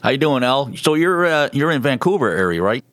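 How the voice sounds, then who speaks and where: A man's voice: medium pitch, sing-song, brisk, fairly bright tone, no echo. A man, casually interviewing as a podcast co-host.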